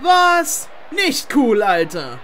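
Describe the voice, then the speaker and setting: A man voicing an excitable young male blue jay fighter in a cartoon fan dub, loud and exclamatory. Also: extremely high pitch, drawn-out words, crowd noise, exaggerated voice. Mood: fired up.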